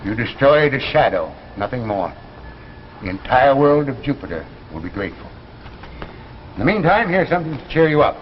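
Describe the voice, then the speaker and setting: A man plays a composed, coolly reassuring doctor in a 1950s radio play. He is measured and formal, with varied intonation, on a dull old recording with faint noise. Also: medium pitch, very animated, natural voice. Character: composed, coolly reassuring doctor, official handler of secret mission. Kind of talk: 1950s radio drama acting. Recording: muffled vintage broadcast, faint noise.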